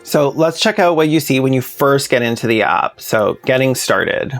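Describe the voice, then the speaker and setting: A man's voice: mid-range voice, animated, normal speed, faint music behind. A man narrating a scripted commentary video in a plainspoken, informal way with sardonic asides. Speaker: video essayist, host.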